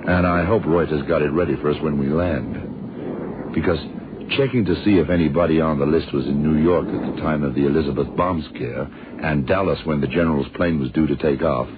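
Very deep, hard-boiled investigator. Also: male voice. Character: gruff, confident American male government investigator. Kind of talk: radio drama acting. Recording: dull, noisy old radio recording.

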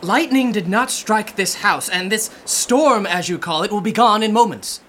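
A woman's voice: medium pitch, moderate pace, fairly bright tone, a little hiss or hum, natural voice. A woman playing a haughty, obsessive young man in a radio drama, with an imperious, formal way of speaking. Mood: defiant, smug.

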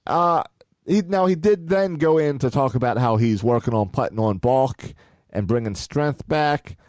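A man co-hosting a podcast, casually steering the sports talk with calm agreement. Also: medium pitch, normal speed, no echo. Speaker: podcast co-host.

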